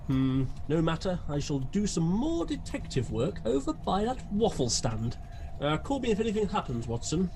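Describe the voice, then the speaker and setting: A man playing a bumbling, breezily pompous gentleman detective with a British accent in a comic radio drama. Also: high-pitched, sing-song, normal speed, a little hiss or hum. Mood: breezy.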